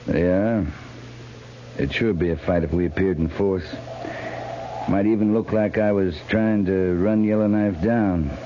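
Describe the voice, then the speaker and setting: Low-voiced, commanding cavalry captain. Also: male voice, steady tone, a little slow, highs cut off. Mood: firm, commanding. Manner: clipped, authoritative, terse. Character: stern, commanding male US cavalry captain on the frontier. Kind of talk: 1950s radio drama acting. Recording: noisy, band-limited 1950s radio recording.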